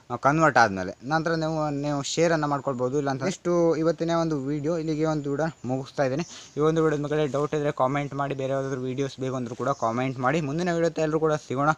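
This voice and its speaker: A man hosting a casual step-by-step tech tutorial, instructing viewers in a friendly-address, repetitive way. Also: a little high.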